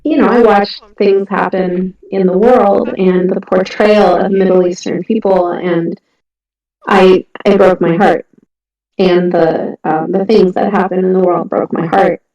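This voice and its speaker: A woman's voice: lowish voice, steady tone, a little slow, mellow. A middle-aged woman, an indie author, talking casually and earnestly about her writing, with frequent ums and restarts. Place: home, on a voice chat. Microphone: computer mic on a voice call. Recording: echoey, distant, muffled voice-chat audio.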